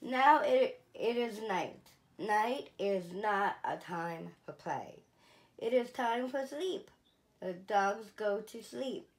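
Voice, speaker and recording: A woman in her thirties reading a picture book aloud for children, slow and clear, with lively rises on the dogs' exclamations. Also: mid-range voice. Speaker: children's museum storyteller. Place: small indoor room, seated in an armchair.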